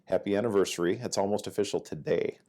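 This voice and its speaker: A man's voice: lowish voice, steady tone, fast talker, dark tone. A middle-aged man hosting an interview, asking friendly, encouraging questions. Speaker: interviewer, command chief. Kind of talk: interview host.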